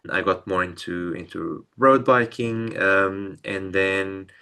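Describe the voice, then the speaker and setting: A man's voice: sits low, steady tone, slow pace, creaky, sharp tone, clear, resonant tone. A young man from Portugal, a podcast guest telling bike travel stories in a hesitant way, often repeating words. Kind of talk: casual storytelling interview.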